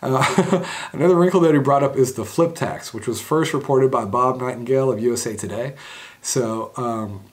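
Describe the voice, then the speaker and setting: A man's voice: medium pitch, moderate pace. A young man hosting a sports news show from home, talking casually with many fillers, restarts and wry asides. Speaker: sports blog host. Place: home room indoors.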